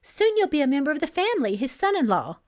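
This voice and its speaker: A woman's voice: sits high, very animated, quick pace, pitched above the performer's own voice. A woman reading a story aloud, voicing a pampered young bride-to-be who is nervous, pleading and manipulative. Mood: wheedling. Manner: flustered, stammering, pleading.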